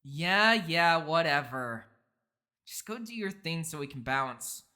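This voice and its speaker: A performer playing an earnest, excitable and kind but anxious high-school boy in an audio drama, gushing in casual teen slang. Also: takes it slowly. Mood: resigned.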